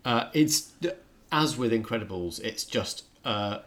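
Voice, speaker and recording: Man, low pitch, review show host, casual conversation, thoughtful, hesitant phrasing. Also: expressive, unhurried, fairly bright tone. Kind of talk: casual film review chat.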